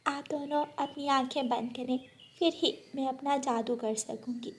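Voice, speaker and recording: A woman's voice: sits high, fairly even intonation, normal speed, a little hiss or hum, natural voice. A woman voicing a brave, kind little female bird in a children's cartoon, earnest and pleading in manner. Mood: coaxing. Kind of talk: Hindi children's cartoon acting.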